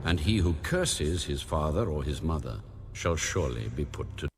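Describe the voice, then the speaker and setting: A man's voice: a bass voice, animated, moderate pace, noisy background. A man narrating scripture from a script in a solemn, formal reading style. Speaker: audio Bible narrator.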